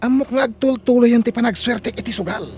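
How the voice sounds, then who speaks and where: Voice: medium pitch, fairly quick, over loud music, natural voice. An actor playing a boastful, easygoing village husband and father with an Ilocano accent in an audio drama. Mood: boastful, upbeat.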